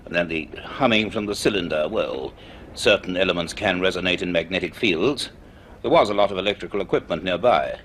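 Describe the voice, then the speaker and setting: A low-pitched, donnish man of science in a radio play. He speaks with measured deliberation and quiet authority, an inquisitive professor telling his tale. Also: a little hiss or hum. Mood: sceptical, reasoning. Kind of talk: radio drama acting and reflective first-person narration.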